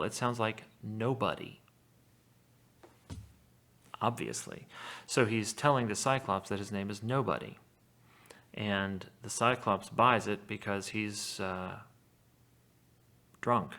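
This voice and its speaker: A man's voice: lowish voice, takes it slowly, long pauses, a bit bright. A man giving a read-aloud lesson as a teacher, explaining in an informal, wry way.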